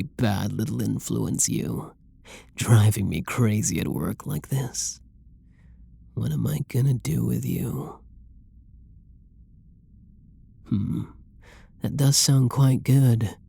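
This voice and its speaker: Performer playing a husky, breathy, flirty villain. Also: mid-range voice, dark tone, exaggerated voice. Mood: aroused, teasing. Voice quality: breathy, husky, often dropping into whispers. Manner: teasing, drawling, pet-name-laden purr. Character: flirty, dominant, possessive yandere villain teasing a hostage. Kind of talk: intimate roleplay ASMR acting.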